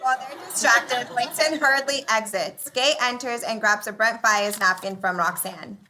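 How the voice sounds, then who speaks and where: A woman's voice: on the high side, normal speed, bright, forceful tone, slight background noise. A woman narrating scripted stage directions for a live sitcom table read.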